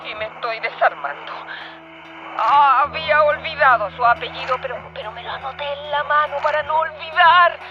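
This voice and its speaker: A high-pitched, aging former police commander, acted by a woman, desperate and forgetful. She stammers and repeats her pleas in radio-theatre style. The recording is dull and lo-fi, with loud music underneath. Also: close to an everyday voice. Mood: desperate, weary. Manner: halting, repetitive, pleading, with stammered restarts.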